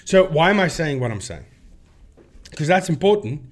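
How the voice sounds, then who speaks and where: A man's voice: on the high side, big pitch swings, normal speed. Emphatic man explaining on camera commentary. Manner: emphatic, repeats phrases for stress. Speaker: video host debunking paranormal claims. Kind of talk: explanatory commentary with screen demonstration.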